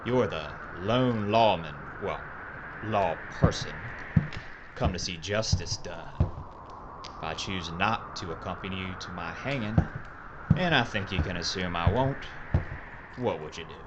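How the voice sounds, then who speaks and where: Guy as a low voiced sardonic cowboy gunslinger, western audio drama, really noisy background. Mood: dry, threatening. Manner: deliberate, sardonic, dry. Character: wry, cornered Old West gunfighter, male. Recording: heavy background noise.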